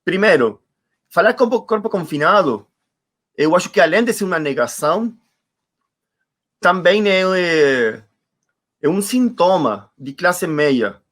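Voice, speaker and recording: A man's voice: high-pitched, big pitch swings, measured pace, long pauses, fairly bright tone. A man, reflecting as a guest in an online conversation, searching for words and repeating them for emphasis. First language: Spanish. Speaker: interview guest. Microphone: video call.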